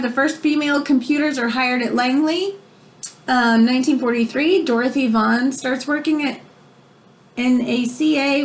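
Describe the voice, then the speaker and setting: Higher-voiced woman reading a book aloud. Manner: expressive, explains words for young listeners. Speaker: read-aloud storyteller. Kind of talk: reading a children's book aloud, with informal asides.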